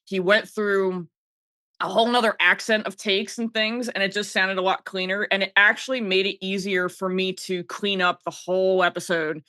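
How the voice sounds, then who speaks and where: A woman's voice: medium pitch, fairly even intonation, normal speed, sharp tone, dry recording, clean studio sound. A woman podcast guest telling personal stories in a casual, informal way, often quoting herself as she goes. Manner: informal, self-quoting storytelling. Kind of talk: casual chat, personal anecdotes.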